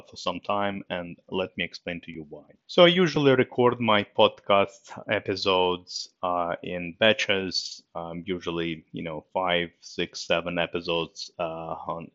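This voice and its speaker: A middle-aged man from Russia with a Russian accent, hosting a solo podcast episode, speaking haltingly with many fillers in a subdued way. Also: low-pitched, a bit bright, no echo, lo-fi sound. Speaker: podcast host.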